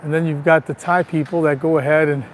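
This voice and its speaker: Male vlogger, raspy from allergies, chatty tour-guide manner, greets viewers by name; phone mic outdoors, light traffic hiss. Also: on the high side, steady tone, fast. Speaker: livestream vlogger. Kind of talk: casual live walking tour. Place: outdoors on a town street. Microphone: handheld phone.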